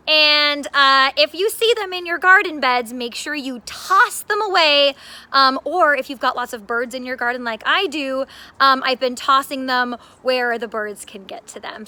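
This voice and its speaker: A woman's voice: high voice, sharp tone. A woman teacher giving children an upbeat read-aloud and gardening demonstration in simple terms.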